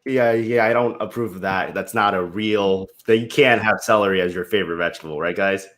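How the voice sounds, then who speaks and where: An American man hosting a party pitch game on a video call, teasing and mock-stern with his questions. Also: a little fast, a bit bright. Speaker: game host.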